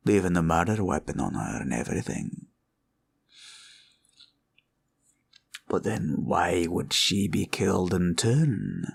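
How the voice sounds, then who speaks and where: A man playing a scheming, condescending male green dragon villain in a roleplay ASMR audio, with a gravelly, husky voice and a slow, probing drawl. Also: deep voice, full character voice. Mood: intrigued, calculating.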